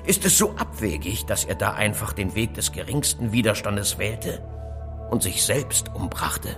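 Cryptic, animated male inventor. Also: lowish voice, measured pace, over music. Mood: knowing, insistent. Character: evasive, cautious male phone inventor, knowing and cryptic. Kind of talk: German audio drama dialogue acting.

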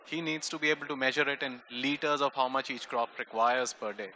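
A man's voice: mid-range voice, fairly even intonation, brisk, bright, forceful tone, slight background noise. A middle-aged man from Punjab, a farmer, speaking emphatically from the audience into a handheld microphone. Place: conference hall.

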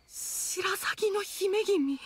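A woman's voice: extremely high pitch, drawn-out words, no room sound, exaggerated voice. A woman voicing a small starstruck yokai boy in a game, stammering with awe. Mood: awestruck. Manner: stammering, awed.

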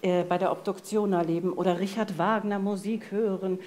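A woman's voice: mid-range voice, steady tone, a little fast, a little mellow. A woman in her forties co-hosting a live podcast on stage in a casual, chatty way, speaking into a headset mic. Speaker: co-host and editorial director. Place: stage in a vaulted venue with live audience.

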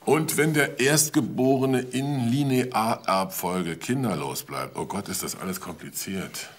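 A man's voice: fairly low pitch, a little slow. A man playing a wry, persistent police detective in a crime drama, dry, polite and probing. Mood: dry, insistent. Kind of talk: German TV crime drama acting.

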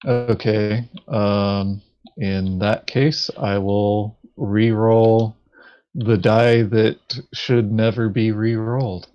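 A man playing a board game, chatting casually and thinking aloud in a halting way. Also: lowish voice, level tone, muffled recording. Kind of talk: casual tabletop game chat.

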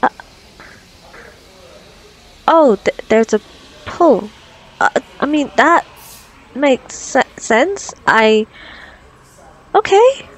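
A woman playing a flustered, curious doctor on a date in an ASMR roleplay, stammering nervously and haltingly. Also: high voice, big pitch swings, full character voice, low-quality recording. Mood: hesitant, curious. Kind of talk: ASMR roleplay audio drama.

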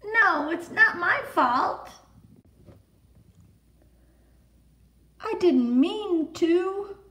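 A woman voicing a mischievous little boy making whiny, drawn-out excuses in a storybook reading. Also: very high-pitched, sharp tone, pitched above the performer's own voice, exaggerated voice. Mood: defensive whining.

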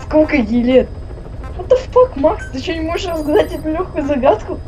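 A woman's voice: high-pitched, expressive, a little fast, warm tone, a little hiss or hum, rough recording. A woman chatting casually during a game, teasing and insistent, repeating herself. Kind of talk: casual chat while gaming.

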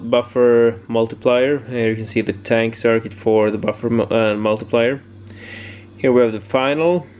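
Man with halting delivery, noisy room. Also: mid-range voice, darkish tone. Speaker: amateur radio hobbyist presenting homebrew project. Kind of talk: casual unscripted demonstration. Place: indoor home workshop. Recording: clear background noise, room ambience.

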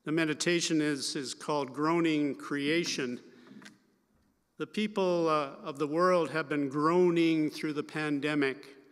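An older Canadian man reads scripture and preaches from a church pulpit at a slow, careful pace. His voice sits a little high for a man and carries a long reverb through the large sanctuary. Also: steady tone. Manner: slow, measured reading. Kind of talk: scripture reading and sermon. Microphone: pulpit microphone at lectern.

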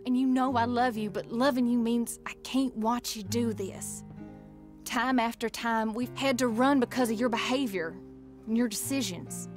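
A woman's voice: fairly high pitch, moderate pace, lightly acted. An actress playing a hurt, earnest frontier wife and mother with a rural American accent, reading a letter in a game drama. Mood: sorrowful, resolved. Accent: American, rural Western. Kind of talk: letter read aloud in video game drama. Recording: loud music under the voice. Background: instrumental music.

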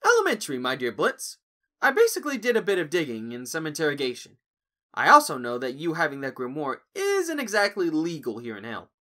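A man's voice: on the high side, huge pitch swings, fairly quick, sharp tone, heavily acted. A man playing a nervous but clever young human in a cartoon audio drama, hesitant and wry. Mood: confident.

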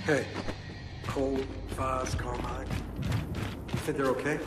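A man's voice: mid-range voice, flat delivery, takes it slowly, lightly acted. A man playing an edgy, questioning soldier sidekick in a military action game, with informal, exclamatory speech. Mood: worried. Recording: loud game music behind the voice.

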